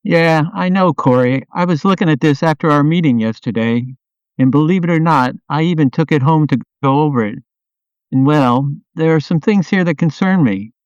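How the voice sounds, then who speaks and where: Man acting as earnest product owner, clear voice. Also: fairly high pitch, a little mellow, dry recording, muffled recording, natural voice. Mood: concerned, earnest. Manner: earnest, questioning, conciliatory. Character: Jeremy, concerned, earnest male software product owner. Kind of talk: amateur radio play dialogue.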